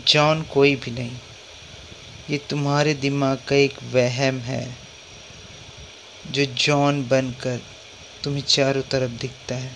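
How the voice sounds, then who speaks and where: A man acting a calm, skeptical husband in a dubbed horror drama, soothing and dismissing his wife's fears. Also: medium pitch, slow, pauses often, slight background noise, low-quality recording. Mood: reassuring. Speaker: husband and father. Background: music.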